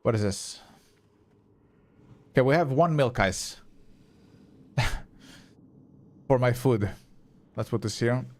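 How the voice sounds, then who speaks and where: Young guy streaming a game, croatian is his first language, chill rambling chat with viewers, swears a bit, normal middle-pitched voice. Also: takes it slowly, long pauses, a little mellow. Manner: chatty, reads and answers chat, swears casually. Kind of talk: casual live-stream chat while gaming.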